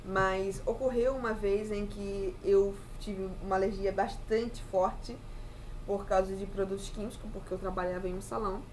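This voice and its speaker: A woman's voice: medium pitch, steady tone, normal speed, darkish tone, slight background noise, poor audio. A young woman talking to her viewers in a casual, reflective monologue, chatty and often adding tag questions. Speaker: vlogger.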